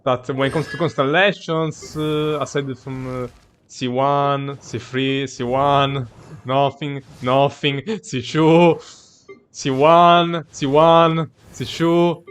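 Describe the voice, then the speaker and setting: A man's voice: medium pitch, little pitch movement, slow pace, clear, resonant tone. A man who is a non-native English speaker gives casual live game commentary, rambling and searching for words. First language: not English. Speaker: game streamer.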